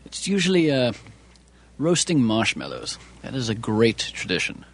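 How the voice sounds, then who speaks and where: A man in a deadpan comedy chat, answering absurd questions in a dry, matter-of-fact way. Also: medium pitch, moderate pace. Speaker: comedy co-host.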